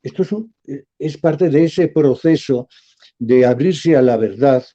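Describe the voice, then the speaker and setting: A man, a professor speaking as a guest in a radio interview, measured and emphatic. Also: mid-range voice, mellow, highs cut off.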